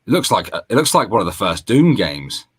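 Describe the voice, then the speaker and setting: A man's voice: fairly low pitch, sing-song, fairly quick, breathy edge. A man, co-hosting a gaming stream in casual, sweary, joking banter. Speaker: co-host of a gaming radio stream.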